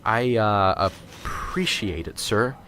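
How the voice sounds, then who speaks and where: A man's voice: on the low side, animated, slow pace, fairly bright tone, slight background noise. A man voicing a nervous, unsure male professor in an amateur radio drama, stammering and hesitant. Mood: jittery. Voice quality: stammering, hesitant, fumbling for words. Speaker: professor bringing a lead.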